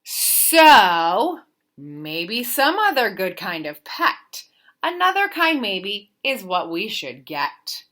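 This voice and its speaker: A woman reading a children's picture book aloud like a teacher, with expressive, deliberate, sing-song emphasis. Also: medium pitch. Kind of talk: animated children's storybook read-aloud.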